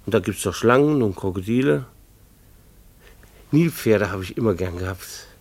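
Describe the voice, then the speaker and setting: Wry schoolteacher husband, lively gravelly voice. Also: male voice, fairly low pitch, moderate pace. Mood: pensive. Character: beleaguered, mild-mannered schoolteacher husband, fond of animals. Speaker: narrator and lead character. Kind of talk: German radio play acting with first-person narration.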